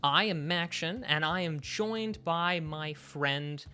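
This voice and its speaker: A man's voice: a little high, slow pace, sharp tone. Playful man hosting a podcast. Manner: showy, playful announcer delivery. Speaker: podcast host. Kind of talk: energetic podcast banter.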